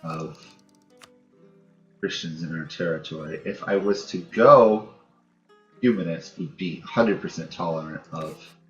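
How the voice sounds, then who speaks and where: A man's voice: sits low, measured pace, pauses often. A man giving casual let's-play commentary on a strategy game, thinking aloud as he plays. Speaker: host of a gaming channel playing a strategy game. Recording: dull, roomy home recording. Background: game music.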